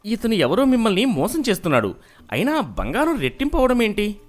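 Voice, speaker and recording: A man's voice: sits high, wildly swooping pitch, fairly quick, voice pushed up. A man voicing an innocent, protesting young villager in a cartoon moral story. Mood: bewildered, protesting. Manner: pleading, protesting. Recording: music behind the voice.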